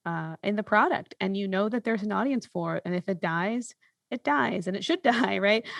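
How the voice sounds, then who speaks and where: A woman's voice: mid-range voice, gravelly, no echo, clean studio sound. A woman hosting a podcast, chatting casually and conversationally with her guest. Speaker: podcast host.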